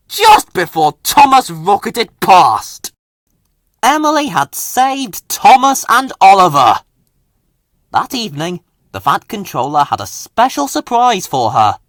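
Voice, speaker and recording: A man reading a children's story aloud with dramatic, deliberate expression. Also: sits high, a bit bright, dry recording, clean studio sound. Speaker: storybook reader.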